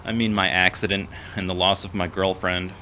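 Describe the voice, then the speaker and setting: A man playing a gentle, reserved, long-suffering character in an audio drama, with a measured, formal manner. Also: deep voice, level tone, slight background noise. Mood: sympathetic, solemn. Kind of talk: scripted audio drama acting.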